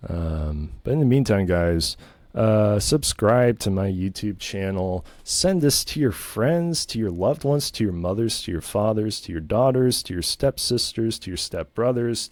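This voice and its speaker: A pompous, self-satisfied man holds forth in a very deep voice, rambling and restarting as he brags. The recording is close and dry, with no room sound. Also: a little mellow, no room sound. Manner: smug, pompous and self-aggrandizing; meandering, full of ums and restarts. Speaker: comedy channel host. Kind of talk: rambling comedic monologue.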